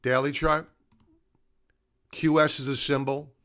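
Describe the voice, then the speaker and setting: A man's voice: fairly high pitch, flat delivery, takes it slowly, fairly bright tone. Middle-aged man hosting a live stream. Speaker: live stream host, stock market analyst. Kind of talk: unscripted live commentary, chatting to viewers.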